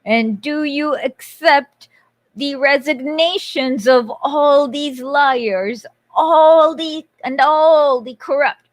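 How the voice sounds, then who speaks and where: A woman doing an impression of a menacing masked male villain, proclaiming slowly and theatrically like a grand revolutionary speech. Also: sits high, no echo. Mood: grandiose.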